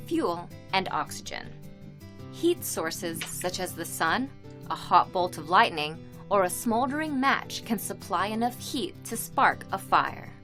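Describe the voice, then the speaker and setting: A woman reads scripted explainer narration in a measured, clearly enunciated way. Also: medium pitch, bright, forceful tone. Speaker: video narrator. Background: music.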